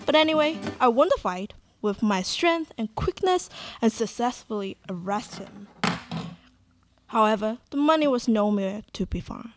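A woman's voice: on the high side, lively intonation, moderate pace, soft background music. A woman playing a proud, self-satisfied sheriff telling of heroics in a live student radio play. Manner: boastful, storytelling.

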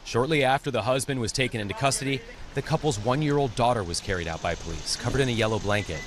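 A man reporting a local TV news story in a measured, scripted broadcast style. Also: mid-range voice.

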